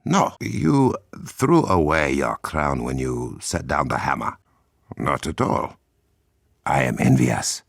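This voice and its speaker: A composed, noble warrior king from a video game, speaking in a remarkably deep, husky voice with an African accent. His pitch moves expressively while his phrasing stays formal and measured, with a dry wit. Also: animated, dry recording, heavily acted. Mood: wry, composed. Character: dignified Wakandan king and warrior, composed, dryly witty. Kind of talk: video game character dialogue.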